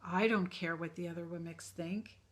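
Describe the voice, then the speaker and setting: A woman voicing a kind, loving bearded woodcarver, speaking softly and tenderly, often near a whisper. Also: fairly low pitch, fairly even intonation, close to an everyday voice. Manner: soft, slow, tender, often hushed.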